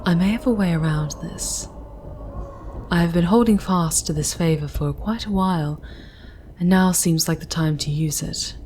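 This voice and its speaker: A woman playing a tender female demon guide in a breathy, intimate ASMR roleplay, speaking formally and soothingly, often in whispers, with some background noise. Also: lowish voice, a little mellow, lightly acted. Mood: gentle, wary. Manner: slow, archaic formal diction, intimate and soothing.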